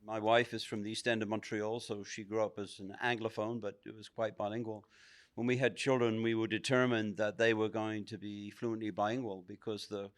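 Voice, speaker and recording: A man in his sixties speaking in a relaxed, reflective way in an interview, recorded on a stand mic in a curtained studio. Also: fairly low pitch, a little fast. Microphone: stand mic close by.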